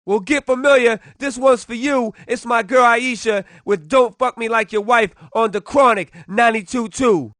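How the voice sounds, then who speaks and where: A man's voice: extremely high pitch, moderate pace, hardly any pauses, fairly bright tone, dry recording, studio quality. A man performing as a brash, hyped-up hip-hop radio DJ, announcing in punchy, slangy, promotional patter. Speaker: radio DJ host.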